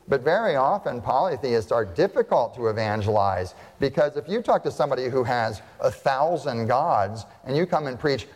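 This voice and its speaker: An American pastor preaching a sermon, talking to the congregation in a conversational way and asking them frequent questions. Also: male voice, medium pitch, darkish tone, in a large hall. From United States. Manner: conversational, asks the audience questions.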